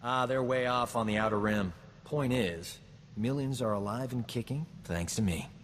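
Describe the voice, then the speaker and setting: An actor playing a boastful, smooth-talking combat medic in a video game, joking and flattering himself. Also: male voice, mid-range voice, normal speed, long reverb tail, a little hiss or hum, natural voice. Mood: cocky. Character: cocky boastful man, a frontier combat medic. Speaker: companion character in a video game. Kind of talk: video game character dialogue.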